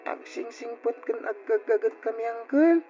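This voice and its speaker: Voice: mid-range voice, moderate pace, mellow, natural voice. An actor playing an earnest, polite young orphan child in an old radio drama, with an Ilocano accent and emotive delivery. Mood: pleading. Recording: dull lo-fi radio recording with music.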